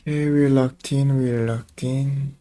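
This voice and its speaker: A man's voice: mid-range voice, fairly even intonation, unhurried, mellow. A man giving casual gameplay commentary, muttering short remarks to himself as he plays. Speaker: game streamer.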